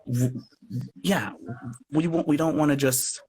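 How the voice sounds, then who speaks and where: A man's voice: a little high, a little slow, a little mellow, husky. A man talking casually as an interview guest, earnest and effusive, often circling back over his phrases on a live call. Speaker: guest, radio play producer. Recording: noisy livestream call.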